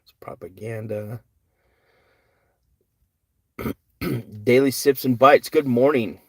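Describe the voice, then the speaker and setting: A man's voice: medium pitch, slow, a little mellow, dry recording, studio quality. An older man hosting a live podcast, reading and answering chat questions in a rambling, earnest, self-correcting way. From United States. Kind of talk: casual live-stream chat.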